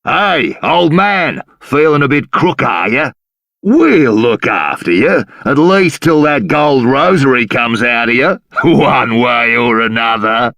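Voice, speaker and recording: Bright, creaky Australian jailer. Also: male voice, mid-range voice, animated, takes it slowly, dry recording, exaggerated voice. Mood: menacing. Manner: coarse, slangy, menacing. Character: bullying, sneering Australian prison guard. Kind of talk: video game voice acting.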